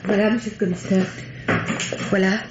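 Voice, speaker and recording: An actress playing an anxious, deferential housemaid giving testimony in a radio mystery, hesitant and earnest. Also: female voice, mid-range voice, little pitch movement, moderate pace, natural voice. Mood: flustered, deferential. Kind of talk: French radio mystery drama acting. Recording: dull, noisy old radio recording.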